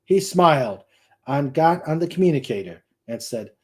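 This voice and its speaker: A man narrating a science fiction war novel in a deliberate read-aloud delivery, with small stumbles here and there. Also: a little high, expressive. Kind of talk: dramatic reading of a sci-fi war novel.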